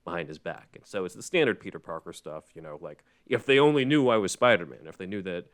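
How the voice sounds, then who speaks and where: A man with a very low voice hosts a casual show. He explains things conversationally, wandering into asides as he recaps. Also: lively intonation, fast talker. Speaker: podcast host.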